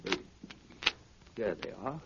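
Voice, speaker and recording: An actor playing a cold, menacing criminal mastermind in a 1930s radio drama, with a measured, formal delivery and veiled threats. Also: male voice, natural voice. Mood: cold menace. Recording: muffled, noisy 1930s radio recording.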